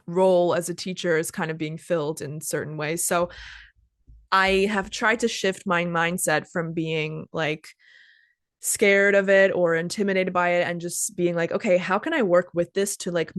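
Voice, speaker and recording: A woman's voice: deep voice, a bit bright, dry recording, studio quality. A woman in her twenties, an English teacher hosting a casual podcast chat, talking with lots of "like" and "kind of" and stopping to explain words. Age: 20s. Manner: chatty, many "like" and "kind of" fillers, pauses to define words.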